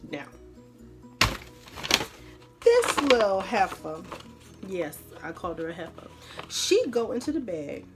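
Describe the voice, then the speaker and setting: A woman podcast host telling a personal story in an animated, colloquial way, dramatically acting out the dialogue. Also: mid-range voice, slow pace, long pauses, music in the background.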